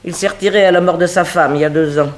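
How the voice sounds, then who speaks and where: An actor as flustered, high-pitched household servant. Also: male voice, steady tone, quick pace, lightly acted. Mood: chatty. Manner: hesitant, stammering, colloquial. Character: flustered, gossipy household servant of a late mistress. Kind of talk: 1960s French radio drama acting.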